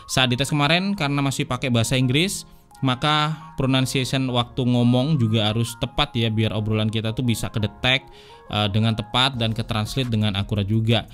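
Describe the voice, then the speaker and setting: A man's voice: medium pitch, fairly even intonation, brisk, a bit bright. A man with a Jakarta Indonesian accent narrating a tech review in a casual, conversational way, explaining things to viewers as friends. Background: background music.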